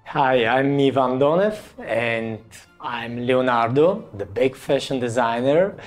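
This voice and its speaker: A man from Bulgaria with a Bulgarian accent, speaking halting English in an interview. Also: mid-range voice, soft background music. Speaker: actor and fashion designer. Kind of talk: press interview.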